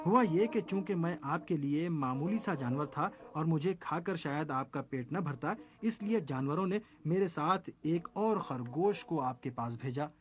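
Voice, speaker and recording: A man plays a cunning young rabbit from a storybook fable. The rabbit is bold yet outwardly humble, persuading with composure in a slightly high male voice over clearly audible music. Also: lightly acted. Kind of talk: children's storybook character voice.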